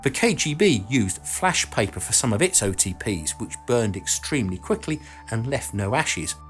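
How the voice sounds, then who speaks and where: A man narrates a scripted documentary explainer. His voice sits at a middling pitch, and his delivery is measured and explanatory. Soft music plays behind him. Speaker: documentary channel narrator and host. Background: background music.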